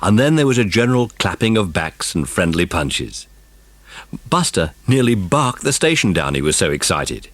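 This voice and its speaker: A man's voice: sits low, sing-song, moderate pace, no room sound. A man narrating a dramatised children's storybook. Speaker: audiobook narrator.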